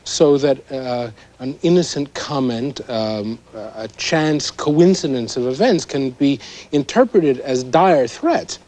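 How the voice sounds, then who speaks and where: An actor playing a male psychoanalyst as a news expert, explaining in a measured but hesitant, halting way. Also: medium pitch, lively intonation, darkish tone. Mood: thoughtful, concerned. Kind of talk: naturalistic mock news interview.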